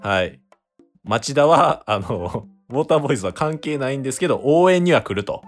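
A man's voice: medium pitch, lively intonation, brisk, soft background music. A man with a Kansai accent bantering casually and comically as co-host of an improvised radio drama, readily giggling. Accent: Kansai Japanese. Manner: giggly, breaks into laughter often.

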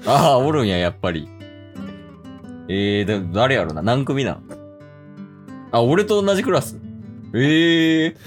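A man's voice: mid-range voice, lively intonation, measured pace, long pauses, faint music behind, close to an everyday voice. A man playing an earnest, smitten teenage boy with a Kansai accent in an amateur radio drama. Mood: curious, hopeful.

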